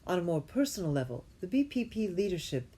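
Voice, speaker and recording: Woman w a slightly low voice reading some scholarly text out loud, kinda halting, redoes phrases. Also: sing-song, husky. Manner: halting, often repeats phrases to correct herself. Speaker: reader. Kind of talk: amateur reading aloud of an academic text.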